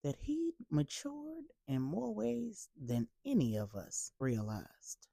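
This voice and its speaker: A woman storyteller giving a deliberate, emphatic, dramatic narration of a bedtime story for grown-ups. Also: medium pitch, mellow, dry recording, studio quality.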